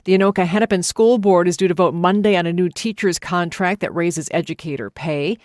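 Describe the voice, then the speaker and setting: Low American newswoman in a dry studio. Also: fairly quick, darkish tone, dry recording. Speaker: news presenter. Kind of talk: scripted local news and weather reading.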